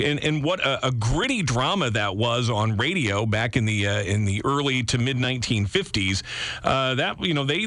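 A man's voice: medium pitch, pushed and bright, no room sound. A man hosting a conversational radio interview in a close, studio-like setting.

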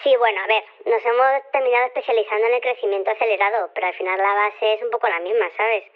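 Tiny sheepish lady scientist on a tinny phone line, talks fast and rambly, keeps correcting herself. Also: on the high side, fairly even intonation. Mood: sheepish, explanatory. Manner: rambling, casual, self-correcting, tag questions. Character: shrunken female scientist, chatty, sheepish, evasive, tiny. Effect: telephone filter. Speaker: phone-in caller. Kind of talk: Spanish audio fiction radio phone-in. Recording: thin, band-limited telephone line.

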